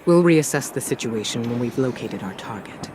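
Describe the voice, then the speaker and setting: A man playing a resolute military commander in a game cutscene, speaking in a measured, authoritative manner. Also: medium pitch, lively intonation, background noise, lightly acted. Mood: grave, determined. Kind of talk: scripted game cutscene monologue. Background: music.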